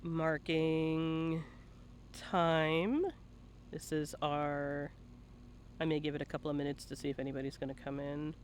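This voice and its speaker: Higher-pitched man in playful banter, noisy background. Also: little pitch movement, a little slow. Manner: playful teasing, profane banter. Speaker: interviewer and livestream host. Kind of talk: casual live-stream chat.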